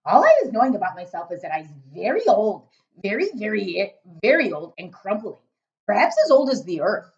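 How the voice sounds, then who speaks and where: A woman voicing a huge, very old, kindly male giant who talks loudly with jumbled grammar and made-up words, in a storybook reading. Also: mid-range voice, sing-song, fairly quick, muffled recording, pitched above the performer's own voice. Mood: earnest.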